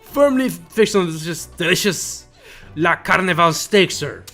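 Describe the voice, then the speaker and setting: A man's voice: high voice, animated, a little slow, bright, forceful tone, full character voice. A man voicing a stiff, bumbling army sergeant in a video game, formally obedient and stammering when cornered. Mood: stubborn. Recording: music behind the voice.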